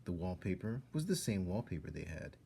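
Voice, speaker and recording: A man reading a children's story aloud as a storyteller, expressively and with dramatic pauses. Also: low voice, big pitch swings, gravelly, mellow, a little hiss or hum. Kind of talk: narrated story read-aloud.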